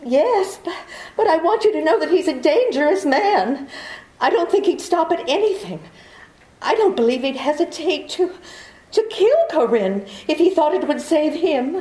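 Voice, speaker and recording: A high, lively, breathless voice, with a woman playing a nervous young client who stumbles and pleads as she speaks. Her tone is soft and mellow, and the slow delivery has the feel of 1940s radio drama. The room around her sounds echoey. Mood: anxious. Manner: halting, breathless, stammering.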